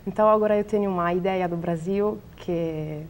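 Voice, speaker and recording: A young Italian woman with an Italian accent, a biologist answering interview questions in a language she is still learning, pausing often to search for words. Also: on the low side, a little mellow, a little hiss or hum. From Italy. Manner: hesitant, searching for words, many fillers.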